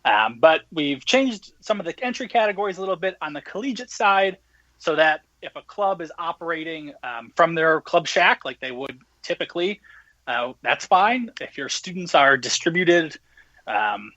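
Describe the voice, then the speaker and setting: Young male guest explainer, fairly high bright voice, conversational, over a lo-fi connection. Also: animated, moderate pace, dry recording. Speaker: guest, event organizer.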